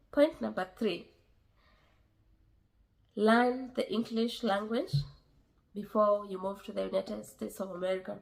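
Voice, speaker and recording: A woman with a Kenyan accent talks straight to her viewers in a casual vlog. Her voice sits at an ordinary middle pitch, and she speaks earnestly, often repeating phrases and pausing with fillers as she works through her points. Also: creaky, rough recording. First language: a Kenyan language. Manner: earnest, repeats herself, many pauses and fillers. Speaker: vlogger giving advice.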